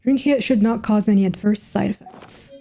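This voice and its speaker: A woman's voice: medium pitch, a little fast, gravelly. A young woman giving casual gameplay commentary, thinking aloud in slangy, informal speech. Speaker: gamer reacting to a story quest. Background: soft game music.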